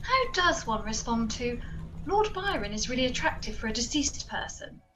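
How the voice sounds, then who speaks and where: A woman playing a sharp-tongued, exasperated adventurer in a comic radio drama. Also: a little high, big pitch swings, normal speed, background noise. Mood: scornful.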